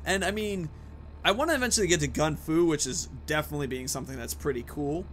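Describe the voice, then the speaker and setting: A chatty man hosts a video game playthrough, thinking aloud casually. His voice is on the high side and swings around in pitch, with a bright edge. Faint game noise sits behind him. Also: expressive, fast. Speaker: gaming host. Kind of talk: casual let's play commentary.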